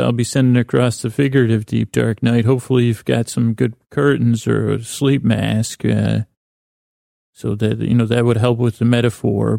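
A man with a gravelly, mellow voice hosts a bedtime podcast. He rambles in a soothing, low-key way, stumbling and doubling back on his own words. The recording is close and dry. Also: dry recording. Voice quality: creaky. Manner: rambling, halting, self-correcting. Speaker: sleep podcast host. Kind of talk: meandering bedtime monologue.

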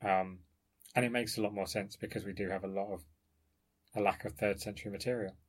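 A man with a fairly low voice speaks as a researcher in an informal academic discussion. He explains things discursively, qualifying his points as he goes. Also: steady tone, fairly quick.

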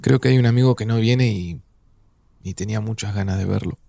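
A man playing an older Argentine father in an audio drama, speaking in a gentle, wistful, reflective way. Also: fairly low pitch, fairly quick, vocal fry, dry recording, studio quality.

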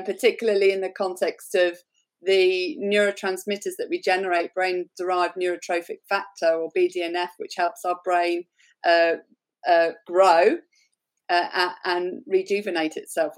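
Woman podcast host, lowish voice. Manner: enthusiastic, warm interjections, laughs easily. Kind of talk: conversational interview.

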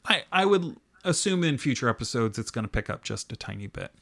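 Male co-host, easygoing chat with sudden excited spikes. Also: a little fast, gravelly. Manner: animated, playful, jumps up in pitch when excited. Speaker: podcast co-host. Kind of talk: casual chat reviewing a cooking show.

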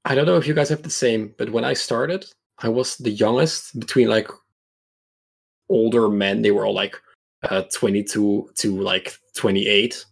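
A man in his mid-twenties chatting casually about his own experiences in a rambling, halting way. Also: on the low side, fairly even intonation, gravelly, breathy edge. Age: mid-20s. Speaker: podcast guest, competitive card game player. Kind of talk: casual chat, personal anecdotes.

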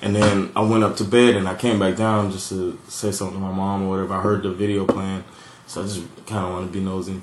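Man from Miami, fairly high voice, animated storyteller with easy laughter and swearing; roomy sound, faint background ambience. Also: fast, slight background noise. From Miami, USA. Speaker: podcast co-host. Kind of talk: casual storytelling chat.

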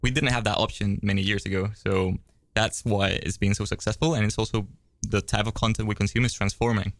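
A man's voice: lowish voice, fairly even intonation, normal speed, creaky, no echo. A young man whose first language is Spanish, chatting casually as a guest and switching between English and Spanish. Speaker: app founder guest. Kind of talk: bilingual casual chat.

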